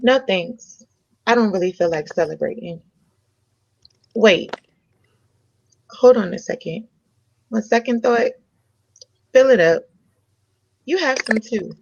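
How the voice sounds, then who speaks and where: Independent young woman, as an actress reads her, candid, conversational, very expressive pitch, comedy table read, dull lo-fi sound. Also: slow, vocal fry, long pauses, heavily acted. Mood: loosening up. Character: frank, independent young American woman, restaurant owner's daughter.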